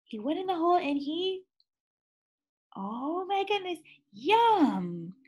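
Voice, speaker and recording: A woman teacher reading a picture book aloud to young children, pausing to ask them questions, with gasps and sighs. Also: very high voice, sing-song, a little mellow. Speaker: second grade teacher.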